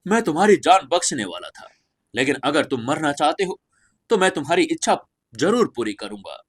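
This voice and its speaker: A man's voice: a little high, very animated, moderate pace, husky. A man voicing a cold, menacing young warrior in an audio story, taunting and commanding as he declaims. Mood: mocking threat.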